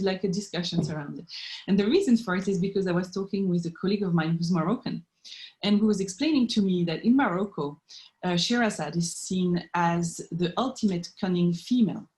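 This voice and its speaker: A woman's voice: on the low side, darkish tone. A woman giving thoughtful, explanatory answers in an interview as an academic expert. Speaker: professor of art and literature history, interviewee.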